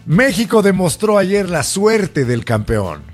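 A man's voice: sits high, sing-song, slow, faint music behind. A Mexican man co-hosting a casual chat show, teasing and chatty. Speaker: co-host. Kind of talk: casual chat about football and politics.

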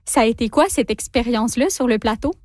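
A woman interviewer with a high voice asks questions in a friendly, inquisitive way. The sound is close and dry. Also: fast talker, a little mellow, no echo.

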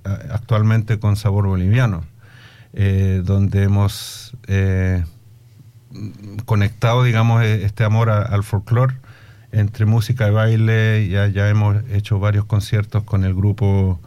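Hesitant middle-aged man, mellow low voice. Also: level tone, measured pace, clean tone. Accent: Chilean Spanish with Australian English influence. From Australia, Chilean immigrant family. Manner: hesitant, searching for words, mixing in English. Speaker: musician and music educator being interviewed. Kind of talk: reflective interview conversation.

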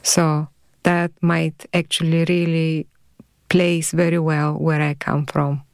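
A woman in her fifties from Bulgaria, speaking with a Bulgarian accent, answers interview questions in a measured, thoughtful way. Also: sits low, level tone, no echo, clean studio sound. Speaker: radio guest.

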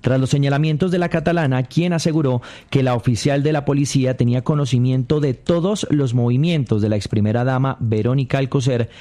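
Casting: male news reporter, mid-pitched, dark relaxed timbre, formal delivery. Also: fairly quick, hardly any pauses.

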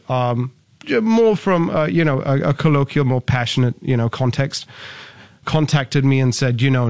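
A young man from Singapore gives interview answers in a measured, formal way, choosing long words. His voice sits at an ordinary middle pitch for a man. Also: darkish tone. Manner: measured, wordy, formal phrasing. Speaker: startup founder, guest.

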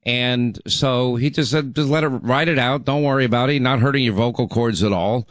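A man's voice: mid-range voice, a little fast, no echo. A middle-aged man hosting talk radio, chatty and rambling, with frequent restarts. His voice is still getting over laryngitis. He is recorded dry and close in the studio. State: recovering from laryngitis. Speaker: radio talk show host. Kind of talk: casual talk radio monologue.

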